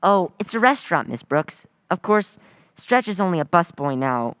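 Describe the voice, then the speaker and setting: Eager, lowish-voiced teenage schoolboy acted by a woman. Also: very animated, moderate pace, clean tone, dry recording. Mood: chatty, earnest. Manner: earnest, gushing, boyish. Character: eager, chatty teenage schoolboy with florid politeness. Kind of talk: old-time radio comedy acting.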